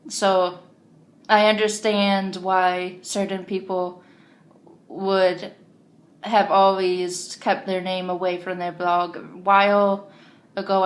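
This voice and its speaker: Hesitant woman with a deep voice. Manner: hesitant, many ums and restarts, drifts onto tangents. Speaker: vlogger. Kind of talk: casual unscripted monologue to camera. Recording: faint background noise.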